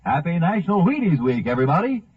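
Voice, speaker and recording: A man's voice: on the high side, very animated, quick pace, very noisy. A man announcing a sponsor message in the style of 1950s live radio. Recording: muffled vintage 1950 radio recording.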